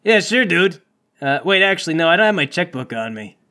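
A man's voice: sits high, big pitch swings, fairly quick, sharp tone, full character voice. A man voicing a laid-back, cocky cyborg vigilante with slangy bro talk in a comedic tabletop game. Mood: breezy, cocky.

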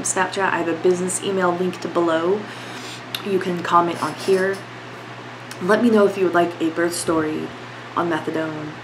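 A woman's voice: fairly low pitch, background noise. A young woman vlogging from her bedroom, congested from a cold, talking candidly with lots of fillers. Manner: rambling, filler-heavy, candid. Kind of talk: casual talk to camera. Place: bedroom at home, indoors.

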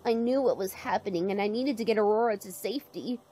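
Casting: woman playing earnest protective mare for a cartoon, soft dark tone, mid pitch, slight noisy ambience. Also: fast talker, close to an everyday voice. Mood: anxious recounting. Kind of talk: animated fan drama acting.

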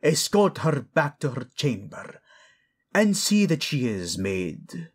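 A man playing a cruel, contemptuous old king in a fantasy audio drama, imperious and swinging from hiss to shout. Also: a little high, sing-song, slow, breathy edge, voice pushed up, full character voice. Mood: commanding.

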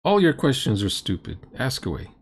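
A middle-aged man delivers critical reaction commentary in a sarcastic, blunt way. His mid-range voice swings widely in pitch as he mocks what he is reacting to. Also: very animated, a little fast, breathy edge. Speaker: host reacting to a recorded roleplaying game.

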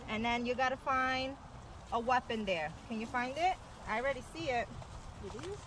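A woman's voice: a little high, normal speed, fairly bright tone, a little hiss or hum, low-quality recording. A mother chatting casually with her family on an outdoor walk, recorded on a handheld camera. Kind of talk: casual family chat while walking. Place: outdoors in a cornfield maze. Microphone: handheld camera microphone.

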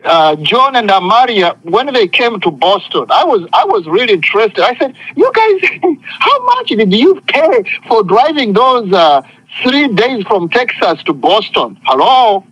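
A man's voice: high-pitched, sing-song, a little slow, fairly bright tone, husky, low-quality recording. A man preaching an impassioned sermon, speaking emphatically with repetition and often calling for responses from listeners. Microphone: phone conference call.

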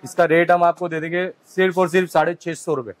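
A man in his thirties walks through his basement stockroom, pitching deals with eager repetition. He speaks into a lapel mic, and his voice sits a bit on the high side. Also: steady tone, fairly quick. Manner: eager salesman, keeps repeating "absolutely". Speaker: wholesale shop owner. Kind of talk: sales pitch in a store tour. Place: small basement stockroom lined with shelves.

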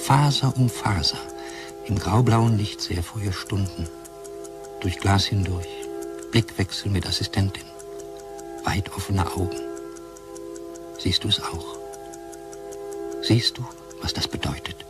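A measured research pharmacologist in a radio play. He has a low male voice and explains things dryly, hedging as he goes. Music plays clearly behind him. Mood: wistful. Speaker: pharmacologist character. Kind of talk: German radio drama acting.

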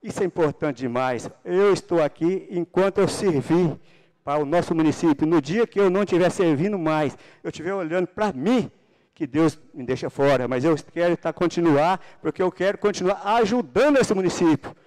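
A man's voice: on the high side, normal speed, darkish tone. A middle-aged man from Paraná, Brazil, a city councilman giving a fervent, religious political speech on a handheld microphone in a large council chamber. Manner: fervent, religious, rising to emphatic bursts.

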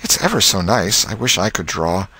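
A man's voice: low voice, sing-song, normal speed, bright, forceful tone, slightly rough, exaggerated voice, rough recording. A man voicing a coy, eager little schoolgirl in an audiobook, sweetly pleading and insistent.

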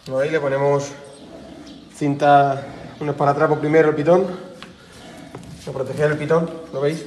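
A man's voice: on the high side, level tone, normal speed, darkish tone. A man in his thirties with a Spain Spanish accent, giving an informal explanatory demonstration like a farmer showing a task. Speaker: cattle breeder demonstrating horn care. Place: cattle handling pen with metal chutes. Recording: echoey, noisy farm shed with clanking.

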